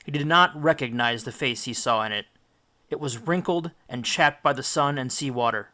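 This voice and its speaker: A man reading an audiobook as dramatic, scripted storybook narration, with deliberate, theatrical phrasing. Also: mid-range voice, sharp tone. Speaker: audiobook reader.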